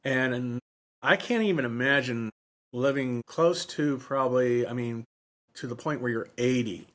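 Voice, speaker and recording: Halting man in his fifties, casual podcast talk. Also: medium pitch, fairly bright tone. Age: 50s. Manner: halting, circling back and repeating phrases. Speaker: podcast host. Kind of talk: casual book discussion.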